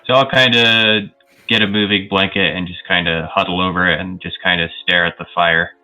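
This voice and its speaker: A man's voice: low voice, steady tone, fairly quick, bright, forceful tone. A man playing a tabletop roleplaying game, casually and tentatively describing what his character does. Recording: dull lo-fi audio, music underneath. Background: music.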